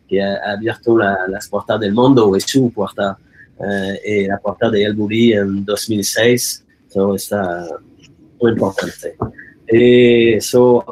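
A man's voice: mid-range voice, husky, low-quality recording. A man whose first language is French, giving an online guest lecture in hesitant speech with many fillers and stray English and French words. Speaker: guest speaker. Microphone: video call.

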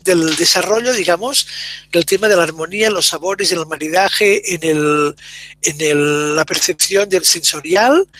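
A man with a high voice moderates an online event over a video call. He introduces the guest at length, pausing often to search for his words. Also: fairly bright tone. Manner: wordy, searching for words with fillers.

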